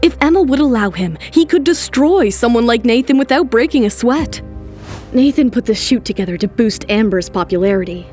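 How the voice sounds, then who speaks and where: A woman's voice: on the high side, lively intonation, fairly quick, a little mellow, faint music behind. A woman narrator delivering scripted, dramatic storytelling for an audio drama.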